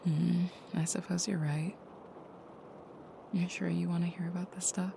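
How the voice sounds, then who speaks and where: A woman playing a gentle, caring vampire girlfriend in an intimate audio roleplay, speaking softly and hesitantly, often near a whisper. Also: on the low side, flat delivery, measured pace, creaky, noisy background. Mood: hesitant, confiding.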